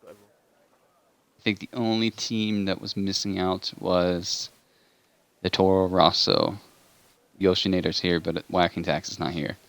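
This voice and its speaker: A man commentating a live simulated racing event, relaxed and chatty, laughing often as he talks through the grid. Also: low-pitched, takes it slowly, gravelly, pauses often, no echo. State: saving a tiring voice. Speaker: race commentator.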